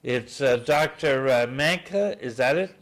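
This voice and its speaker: Man, event host, informal introduction, lots of uh fillers, wry asides, middle pitch. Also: measured pace. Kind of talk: informal spoken introduction at a community gathering.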